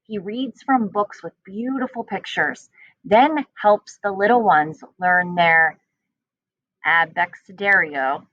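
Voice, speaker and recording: A woman reading a children's storybook aloud as a teacher, with expressive storytelling. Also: mid-range voice, slow pace, no echo, muffled recording.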